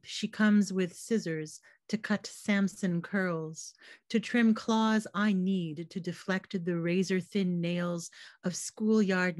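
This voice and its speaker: A Canadian woman, a poet, giving a poetry reading on a video call, her voice slightly hoarse, quick in her intros and measured as she reads. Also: on the low side, no echo, studio quality. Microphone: computer mic on a video call.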